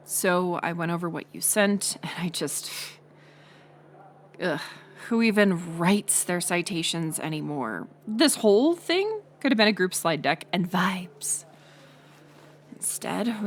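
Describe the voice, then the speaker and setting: A young woman playing a mocking college bully in an audio roleplay, sarcastic and teasing, with a hidden softer side. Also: on the low side, a little hiss or hum. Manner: sarcastic, teasing, scoffing with sighs and breathy asides. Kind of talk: audio roleplay acting, intimate one-sided dialogue.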